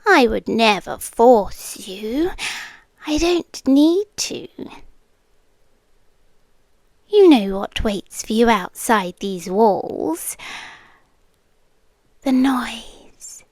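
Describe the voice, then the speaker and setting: Woman acting a slow, high-voiced British serpent girlfriend. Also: lively intonation, no echo, full character voice. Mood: calm, quietly menacing. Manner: soft, slow, intimate, often slipping into whispers. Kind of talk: yandere girlfriend ASMR roleplay. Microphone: close microphone, dry.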